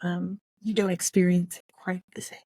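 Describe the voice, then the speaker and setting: A woman's voice: on the low side, flat delivery, moderate pace, dark tone, dry recording, clean studio sound. A woman co-hosting a podcast in a casual book discussion, speaking in an opinionated, playfully emphatic way.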